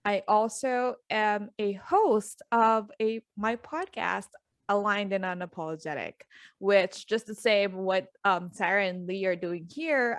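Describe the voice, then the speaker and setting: A middle-aged woman from the Philippines, a guest coach, telling her story openly in a relaxed interview, with many fillers and restarts. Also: mid-range voice, dry recording, studio quality. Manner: earnest, open, with frequent fillers and restarts. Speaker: guest, life and business coach.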